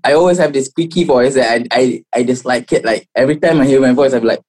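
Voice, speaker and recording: A young man in his twenties with a Malaysian English accent talks as a music guest in a laid-back interview. His voice is mellow and a little husky, and he speaks in loose slang. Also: mid-range voice, quick pace. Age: 20s. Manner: relaxed, slangy, says "bro" a lot. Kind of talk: casual interview chat.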